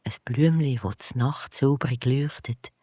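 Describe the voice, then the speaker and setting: A storyteller with a Swiss German accent narrating a scripted bedtime story for children in a gentle, deliberate manner. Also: mid-range voice, breathy edge, no room sound, studio quality.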